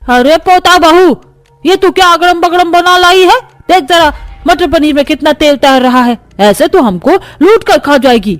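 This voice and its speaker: A woman's voice: very high-pitched, moderate pace, dry recording, soft background music. A woman voicing a bossy, nagging old grandmother-in-law with rustic phrasing in a cartoon story. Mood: scolding, bossy. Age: older. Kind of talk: acted Hindi moral-story cartoon.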